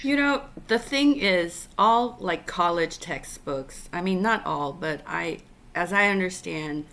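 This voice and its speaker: A woman from Taiwan hosts a casual chat over a video call. Her voice sits low and her pace is unhurried. She hesitates a lot, with fillers and restarts. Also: a bit bright. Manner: halting, many fillers and restarts. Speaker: podcast host.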